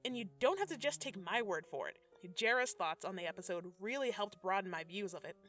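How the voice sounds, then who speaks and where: Animated, bright-voiced woman, sassy commentary. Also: medium pitch, fairly quick. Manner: sassy, conversational. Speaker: video essay narrator. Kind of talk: scripted commentary with slangy asides. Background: background music.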